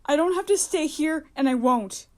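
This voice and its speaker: Woman playing a high-pitched, defiant teenage girl. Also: lively intonation, moderate pace. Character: defiant, frightened teenage girl turning possessed. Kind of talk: audio horror drama acting.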